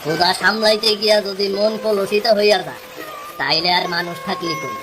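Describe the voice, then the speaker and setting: A woman's voice: medium pitch, fairly quick, noisy background, close to an everyday voice. A woman voicing an honest, hurt older-brother fisherman in a cartoon, earnest and admonishing, with a rural Bangladeshi Bengali accent. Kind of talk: cartoon story dialogue.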